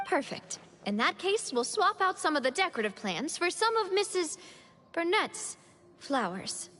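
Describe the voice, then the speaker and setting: A woman's voice: extremely high pitch, sing-song, moderate pace, sharp tone, long reverb tail, exaggerated voice. A woman voicing a polite, thoughtful young lady in a video game, often hesitant and musing. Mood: grateful, cheerful.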